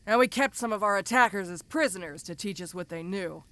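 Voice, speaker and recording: A woman's voice: medium pitch, a little fast, a bit bright, no echo, natural voice. A woman voicing a domineering giant ogre mercenary in an audio roleplay, speaking to the listener in a casual, commanding, teasing way. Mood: matter-of-fact. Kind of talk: first-person audio roleplay addressed to the listener.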